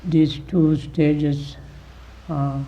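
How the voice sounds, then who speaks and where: A man with a gravelly voice gives guided meditation instruction as a teacher, deliberately, in short phrases with long pauses, on a dull recording. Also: fairly high pitch, little pitch movement, warm tone.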